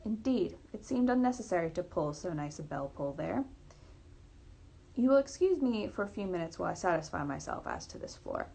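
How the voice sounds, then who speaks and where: A keen, inquisitive male detective from a storybook, voiced by a woman. The voice is gravelly and a little rough, with deliberate, confident questions and exclamations. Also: lowish voice, sing-song, a little mellow, exaggerated voice. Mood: probing. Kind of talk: storybook reading.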